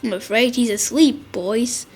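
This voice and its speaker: Brief: woman playing a gentle but firm mother in a kids' storybook. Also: fairly high pitch, measured pace, slightly rough.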